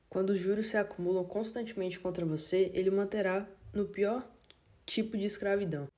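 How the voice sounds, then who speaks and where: Mellow, steady woman audiobook narrator. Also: medium pitch. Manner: steady, measured reading.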